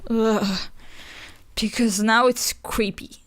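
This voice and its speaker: Casting: female actor as a territorial nature goddess, argumentative and exasperated, slightly high voice. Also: steady tone, slow. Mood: indignant. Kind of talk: fantasy audio drama acting.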